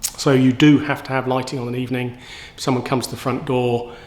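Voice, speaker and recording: A man's voice: medium pitch, flat delivery, fairly quick. A man giving a casual product review, explaining things informally and a little hesitantly. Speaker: product reviewer and home renovator.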